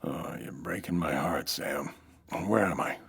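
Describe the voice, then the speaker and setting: Man playing a low-voiced hard-boiled American noir sleuth. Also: unhurried, gravelly, a bit bright, slightly rough, heavily acted. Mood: weary, wry. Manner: terse, noir-style storytelling. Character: hard-boiled American nightclub owner, weary, wry sleuth. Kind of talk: 1940s radio detective drama narration and dialogue.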